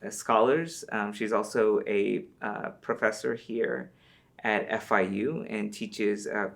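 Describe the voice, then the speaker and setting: A man's voice: fairly low pitch, gravelly, a bit bright. A man hosting a university podcast, introducing his guest in a hesitant way with many fillers. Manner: hesitant, with many ums and uhs. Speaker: podcast host. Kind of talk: interview host introduction.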